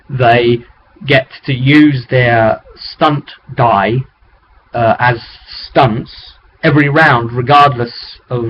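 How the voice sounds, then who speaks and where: A man talking casually as a game master, recapping a tabletop roleplay session in a rambling, animated storytelling way. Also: medium pitch. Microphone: webcam microphone. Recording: dull webcam audio with clear background noise.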